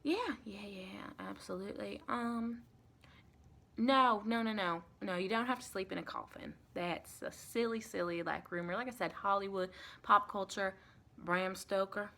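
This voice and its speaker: Bright, soft-spoken woman as a folksy vampire. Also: medium pitch, unhurried, vocal fry. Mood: chatty, matter-of-fact. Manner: chatty, reassuring, colloquial, rambling. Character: friendly, folksy female vampire welcoming a new recruit. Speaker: ASMR performer. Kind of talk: ASMR roleplay, soft-spoken with whispers.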